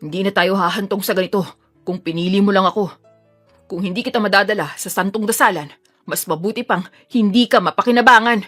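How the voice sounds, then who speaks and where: Audio drama villain played by a woman: obsessive man, taunting, bitter, forceful delivery over music. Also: medium pitch, fairly quick, natural voice. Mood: angry threat. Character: menacing, obsessive male attacker, taunting and bitter.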